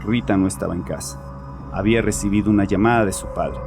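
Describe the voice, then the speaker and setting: An actor playing a tormented, guilt-ridden father in a horror audio drama, confessing to a psychiatrist in an agitated, defensive, halting way. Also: on the low side, steady tone, lightly acted. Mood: bitter, defensive. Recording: music behind the voice.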